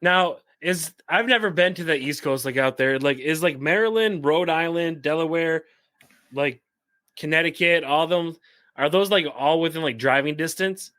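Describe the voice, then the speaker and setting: A man's voice: on the high side, normal speed, a bit bright, dry recording, clean studio sound. A man hosting a podcast, asking curious, chatty questions and laughing easily. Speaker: podcast host. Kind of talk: casual interview.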